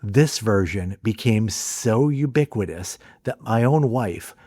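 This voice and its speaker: Low-ish guy doing measured documentary narration, dry close mic, no room sound. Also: lively intonation, dark tone, no echo. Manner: measured, deliberate delivery. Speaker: film essay narrator.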